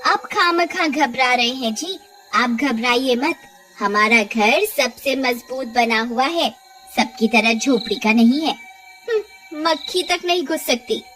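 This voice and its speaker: A woman voicing a stubborn, vain village wife in a cartoon story, self-assured and quick to scold. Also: sits high, animated, bright, forceful tone, soft background music, exaggerated voice. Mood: dismissive, stubborn. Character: stubborn, vain village wife, dismissive, wailing when hurt.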